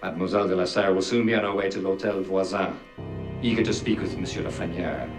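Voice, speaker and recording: An actor playing a cold, scheming middle-aged grandmaster in a game cutscene, measured, deliberate and formal. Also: male voice, low voice, music in the background. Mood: cold, composed. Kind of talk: dramatic video game cutscene acting.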